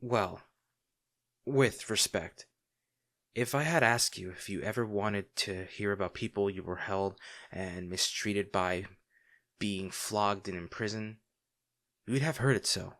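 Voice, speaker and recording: A man playing a devoted, remorseful bodyguard to a noble lady in a fantasy audio drama, speaking in a formal, halting, earnest way. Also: lowish voice, a bit bright. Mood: restrained, wry.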